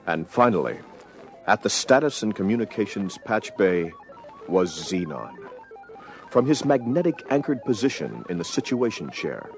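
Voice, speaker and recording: Deep-voiced male dramatic story narrator. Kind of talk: dramatic scripted story narration for audio adventure record.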